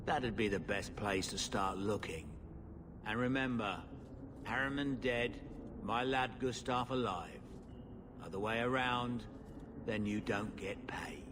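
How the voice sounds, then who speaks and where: Male actor as cynical hired-killer boss: lowish, very unhurried, blunt, game cutscene, noisy ambience. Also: natural voice. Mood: businesslike, threatening. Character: gruff, cynical underworld mercenary boss. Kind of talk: video game cutscene acting.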